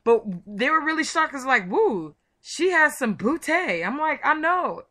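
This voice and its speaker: Female interview host, bright and punchy, effusive promotional chat. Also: high-pitched, very animated, measured pace. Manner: effusive, gushing. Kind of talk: chatty promotional interview hosting.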